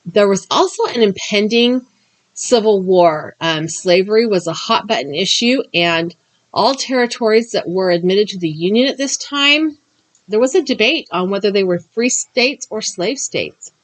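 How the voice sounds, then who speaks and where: A woman with a mid-pitched voice and a lingering scratchiness from a recent cough hosts her own channel. She talks in a chatty, explanatory way, with the occasional um. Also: lively intonation, normal speed. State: slightly scratchy voice after a cough. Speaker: crafting and true crime channel host. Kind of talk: crafting tutorial then read-out history narration.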